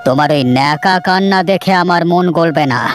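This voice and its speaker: A man's voice: a little high, fairly quick, natural voice. A man voicing a bitter cartoon father who blames his wife in a harsh, scornful, accusing way over background music. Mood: scornful anger. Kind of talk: cartoon melodrama dialogue.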